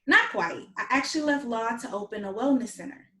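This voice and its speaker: Upbeat thirties woman, played by an actress, higher voice, echoey room, TV comedy table read. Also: expressive, fairly quick, fairly bright tone. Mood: upbeat, persuasive. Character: Heather, upbeat thirty-six-year-old ex-lawyer turned wellness owner.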